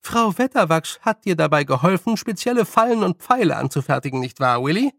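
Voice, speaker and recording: Man voicing a high, bossy old village witch. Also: very animated, brisk, gravelly, dry recording, clean studio sound, exaggerated voice. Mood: brisk, loud. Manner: loud, stern, teasing, colloquial scolding. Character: brisk, bossy, good-humoured old woman village witch. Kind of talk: audiobook character voice.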